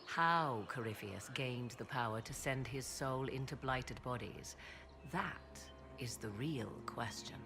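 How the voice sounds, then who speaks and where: Over clear music comes a very low-pitched, haughty sorceress from a fantasy game. She speaks like a learned, enigmatic advisor, with formal and archaic phrasing. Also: slow, full character voice. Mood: pondering. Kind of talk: dramatic fantasy game voice acting. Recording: loud game music behind the voice. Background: game soundtrack music.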